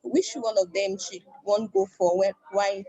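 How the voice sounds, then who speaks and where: A woman student with a soft, mellow voice asks her tutor a question over a video call. She speaks politely and tentatively, pausing on fillers as she goes. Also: medium pitch, steady tone, dull audio.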